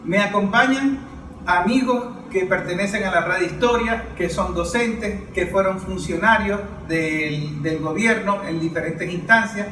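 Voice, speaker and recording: A man's voice: high-pitched, unhurried, pushed and bright. A Venezuelan man hosting and introducing a political round-table discussion. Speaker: host and moderator. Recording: roomy, noisy, distant microphone.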